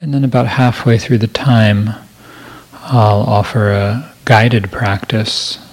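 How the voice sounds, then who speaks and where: A man leading a guided meditation as a teacher, speaking in a gentle, measured manner with long pauses. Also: on the low side, fairly even intonation, husky, poor audio.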